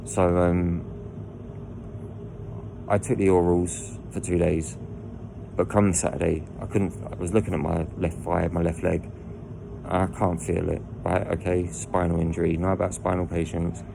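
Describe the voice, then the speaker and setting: A man with a croaky voice, telling his own story in a rambling way full of restarts and asides. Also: sits low, level tone, measured pace, background noise. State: croaky voice from lying down. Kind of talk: candid personal storytelling. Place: indoors lying down at home.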